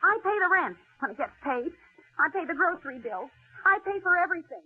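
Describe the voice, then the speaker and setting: An actress playing a bitter, nagging working wife in a 1940s radio drama, scolding with sharp sarcasm. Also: female voice, very high-pitched, sing-song, brisk. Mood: angry, sarcastic. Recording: tinny band-limited old radio broadcast.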